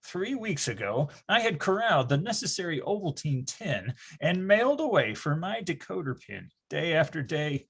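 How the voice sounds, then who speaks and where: Male actor as wry grown-up recalling boyhood in readers theatre: theatrical, very animated, slightly rough high voice. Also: moderate pace, hardly any pauses, heavily acted. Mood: exasperated. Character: grown man wryly recalling his boyhood, grandiose and dramatic. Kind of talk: readers theatre first-person storytelling.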